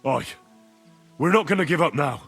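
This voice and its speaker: A man's voice: on the high side, expressive, normal speed, a bit bright, breathy edge, soft background music, exaggerated voice. An actor playing a determined young medieval man-at-arms in a video game, earnest, blunt and plain-spoken. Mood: insistent. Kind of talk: dramatic video game acting.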